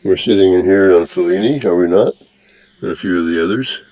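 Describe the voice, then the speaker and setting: A man's voice: low-pitched, brisk, darkish tone, no room sound, close to an everyday voice, poor audio. A man voicing a young male American humorist in a read-aloud story, dry and quippy.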